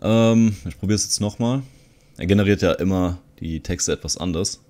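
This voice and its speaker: A man talks in a low voice. He presents like an online video host walking through software on screen. His delivery is casual and conversational, explaining as he goes. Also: moderate pace. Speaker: video host, content creator. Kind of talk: casual screen-recording tutorial commentary.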